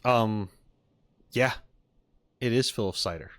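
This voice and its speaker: A man's voice: mid-range voice, slow pace, a bit bright. An American man chatting casually on a reaction stream, rambling and joking as he goes. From United States.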